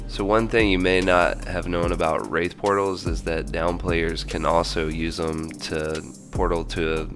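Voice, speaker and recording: A young man hosting a gaming tips channel, explaining game mechanics step by step in a steady voice, still stuffy from a cold. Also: low-pitched. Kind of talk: scripted game tips voice-over. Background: background music.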